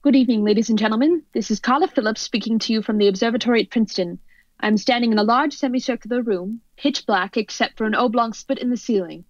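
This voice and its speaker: A woman acts out a poised female news commentator from an old-time radio play. Her voice sits fairly high and her delivery is formal and measured. The sound is dry and somewhat dull. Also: no echo, lightly acted. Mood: formal, composed. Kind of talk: old-time radio drama interview and reporting. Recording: dull lo-fi audio.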